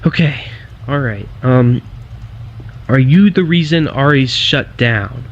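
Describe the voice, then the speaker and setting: Muffled found-footage audio with loud ambient noise carries a man acting as a frightened explorer in an abandoned building. He speaks very slowly and haltingly, stammering through nervous questions in an analog horror style. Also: medium pitch, natural voice. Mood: nervous, hesitant.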